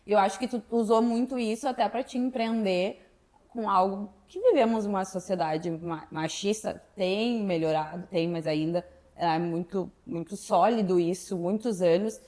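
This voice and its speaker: A woman's voice: mid-range voice, normal speed, mellow. Woman interviewing a guest. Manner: conversational, encouraging questions. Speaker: host. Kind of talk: casual interview chat.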